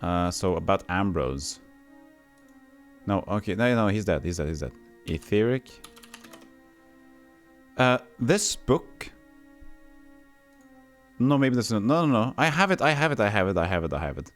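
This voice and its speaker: A man's voice: lowish voice, big pitch swings, a little slow, long pauses, soft background music. A man from Texas with no regional accent, chatting casually as he streams a retro game, reading game text aloud and answering his chat. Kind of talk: casual gameplay commentary.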